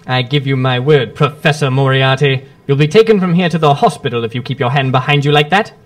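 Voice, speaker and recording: An actor playing a shrewd, imperturbable gentleman detective in a radio drama, speaking drily and urbanely with small hesitant murmurs. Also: mid-range voice, brisk, lightly acted. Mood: coolly defiant. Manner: urbane, dry, hesitant interjections.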